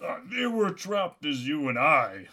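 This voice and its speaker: A man's voice: a little high, big pitch swings, a little slow, sharp tone, full character voice. A man voicing an urgent, grimly resigned immortal Santa held captive, in a comic story. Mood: grim warning.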